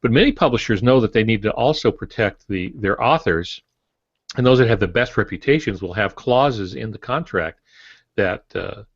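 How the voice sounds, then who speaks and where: Low-voiced older man, dry muffled sound. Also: expressive, moderate pace, husky, no room sound. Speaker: podcast panelist, veteran author. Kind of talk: explanatory podcast discussion. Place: home office, indoors. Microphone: earbuds on a video call. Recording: dull, compressed video-call audio.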